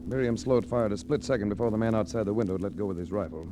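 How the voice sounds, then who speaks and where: A man's voice: fairly low pitch, very fast, over music, natural voice. A man playing a cool, wry hard-boiled investigator in a 1940s radio mystery, dry and unruffled as he talks and narrates. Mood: cool, alert. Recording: hissy old radio drama recording.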